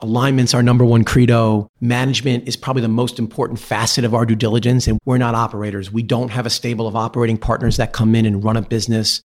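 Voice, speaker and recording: Male interview guest, finance executive type. Measured, articulate, diplomatic explanations at a conversational mid pitch. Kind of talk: business interview, explanatory answers.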